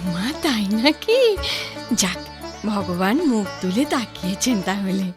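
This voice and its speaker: A woman voicing a patient, caring village mother in a cartoon, with a soothing, drawn-out delivery. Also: mid-range voice, lively intonation, music in the background. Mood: concerned. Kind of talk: Bengali cartoon dubbing.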